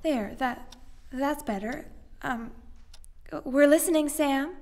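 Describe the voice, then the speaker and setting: A woman playing a sweet, determined young woman in love, gently teasing, in an old-time radio drama. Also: high-pitched, slow pace. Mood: coy, tense.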